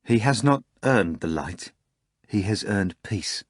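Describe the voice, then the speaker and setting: A man's voice: medium pitch, normal speed, fairly bright tone, dry recording, lightly acted. Earnest male disciple, indignant and pleading, voiced by the narrator, dry close read.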